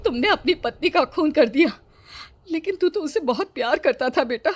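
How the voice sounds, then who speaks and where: A woman's voice: sits high, animated, normal speed, no echo. A woman voicing a worried, scolding middle-aged mother in a horror cartoon story, concerned and reproachful. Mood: shocked, reproachful.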